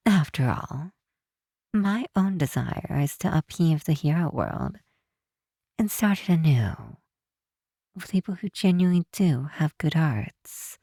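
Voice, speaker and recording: A woman playing a possessive supervillain who is softly menacing yet tender, in an intimate ASMR roleplay with a hushed, teasing delivery. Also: deep voice, dry recording, clean studio sound, full character voice. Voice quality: breathy, hushed. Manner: unhurried, teasing, theatrical.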